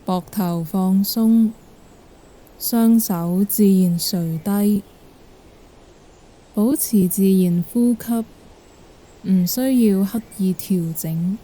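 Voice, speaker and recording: A woman with a Hong Kong Cantonese accent guiding a meditation in a gentle, calm manner. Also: mid-range voice. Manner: gentle, calm, unhurried instruction. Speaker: guided meditation instructor. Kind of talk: guided meditation narration.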